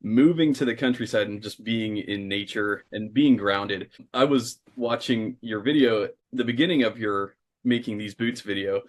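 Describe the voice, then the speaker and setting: A man hosting a casual interview, talking with enthusiasm at length, often pausing on uh. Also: lowish voice. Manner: enthusiastic, talkative, frequent uh fillers. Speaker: host.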